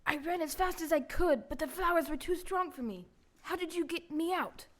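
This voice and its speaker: A woman's voice: very high-pitched, moderate pace, slight background noise. A woman playing a big, humble, cowardly lion in a stage audio play. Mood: weary, grateful.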